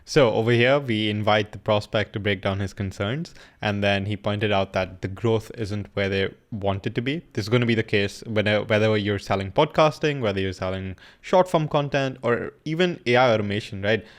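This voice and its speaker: A man's voice: fairly low pitch, normal speed, hardly any pauses. A young man in casual commentary, coaching viewers through a recorded sales call in a loose, slangy way.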